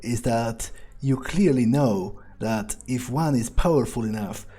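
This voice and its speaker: A man voicing a wry, cocky fledgling vampire in an improvised game role-play, speaking informally with musing pauses. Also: medium pitch, dark tone, slightly rough. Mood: considering. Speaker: gamer role-playing as his character. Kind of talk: improvised in-character game playthrough.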